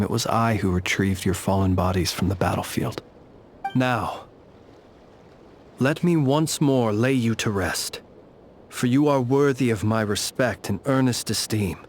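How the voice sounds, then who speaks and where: A man voicing a solemn, gentle keeper of the dead in a video game, speaking with formal, measured eloquence. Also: medium pitch, slight background noise, natural voice. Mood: solemn, reverent. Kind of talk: scripted video game voice acting.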